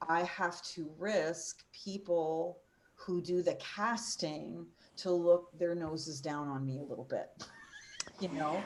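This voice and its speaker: A woman in her forties speaks in a low voice with earnest, emphatic passion. She talks as a panelist over a video call. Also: steady tone, slow pace, low-quality recording. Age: 40s. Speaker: opera singer and voice teacher on a panel. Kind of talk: panel discussion.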